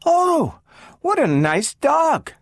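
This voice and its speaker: A man reading aloud and voicing an eager little girl who turns tearful, in a shrill pleading voice. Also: very animated, measured pace, fairly bright tone, no room sound, studio quality, exaggerated voice.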